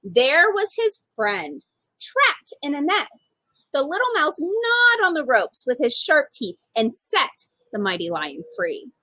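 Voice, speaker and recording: A woman reading a children's story aloud as an expressive storytime narrator. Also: high voice, slow pace, dry recording, rough recording. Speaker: storytime reader.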